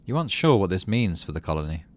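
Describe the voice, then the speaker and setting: A man reading a game's story script aloud as expressive narration for a gaming channel. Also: low voice, quick pace.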